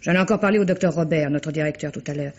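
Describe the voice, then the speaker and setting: An actor playing a courteous, talkative clinic staffer giving careful, explanatory testimony in a radio drama. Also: male voice, a little high, close to an everyday voice. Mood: earnest, explanatory. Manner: measured, hesitant, polite, explanatory. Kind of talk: 1950s French radio drama acting. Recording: muffled old radio recording.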